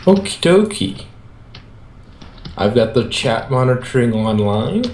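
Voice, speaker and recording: Male stream co-host, casual, very animated pitch, heavy fry, echoey recording. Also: slow pace. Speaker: co-host handling the stream chat. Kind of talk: casual chat. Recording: echoey room with faint noise.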